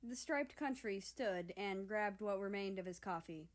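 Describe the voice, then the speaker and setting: Slow-paced woman reading a story. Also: mid-range voice. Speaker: story reader. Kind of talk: scripted fan fiction narration.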